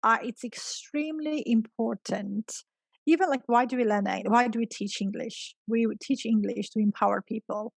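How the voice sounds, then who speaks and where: Creaky-voiced woman, emphatic teacher. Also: fairly high pitch, fairly even intonation, moderate pace, no echo. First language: Georgian. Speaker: guest, experienced English teacher. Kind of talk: conversational interview answers.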